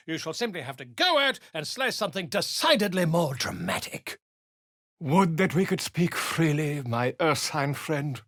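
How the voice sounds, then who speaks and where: Video game role: a fussy, pompous chronicler. Theatrical and wordy, with a husky voice and lively pitch. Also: male voice, no room sound, studio quality, exaggerated voice. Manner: theatrical, florid, wordy and wheedling. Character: pompous, flamboyant male author and chronicler, inquisitive and fussy.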